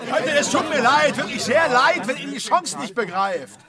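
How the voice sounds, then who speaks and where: An actor playing an indignant, scornful workers' activist haranguing a meeting in a radio drama. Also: male voice, very high voice, lively intonation, moderate pace. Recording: noisy meeting crowd behind.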